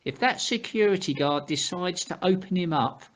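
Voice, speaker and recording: Exasperated, placating son for amateur radio comedy, played by a woman; lowish voice, very animated. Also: moderate pace, poor audio. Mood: worried. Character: exasperated middle-aged son trying to keep calm.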